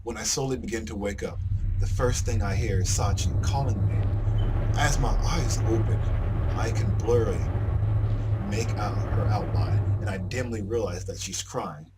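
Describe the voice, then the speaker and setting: A man's voice: lowish voice, normal speed, heavy background noise. A man hosting a let's play, reading game narration aloud and commenting casually in an earnest, sentimental way. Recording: loud game music behind voice. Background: game music.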